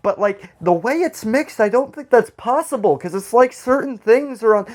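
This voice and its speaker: A man co-hosting a podcast in casual comedic banter, rambling and halting as he goes and often laughing. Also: very high voice, expressive. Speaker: podcast co-host.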